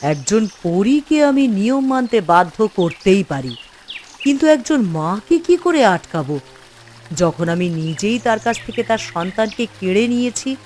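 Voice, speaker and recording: A woman's voice: mid-range voice, sing-song, measured pace, a little mellow, slight background noise. A woman voicing a grave, guilt-burdened fairy queen in a fairy-tale cartoon, solemn and reflective. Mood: remorseful.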